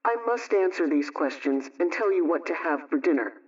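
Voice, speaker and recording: A man with a fairly high voice reads a scripted homemaking chat in old-time radio style. He is instructive and conversational, sounding a bit distant on a muffled, lo-fi recording. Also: lively intonation, moderate pace. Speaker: household advice program host.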